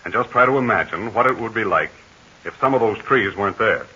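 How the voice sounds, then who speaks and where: An actor playing a brave, steady adult aviator hero in 1940s radio acting, calm and reassuring in manner. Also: male voice, on the low side, fairly quick, breathy edge, heavily acted. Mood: encouraging. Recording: hissy, muffled 1940s radio transcription.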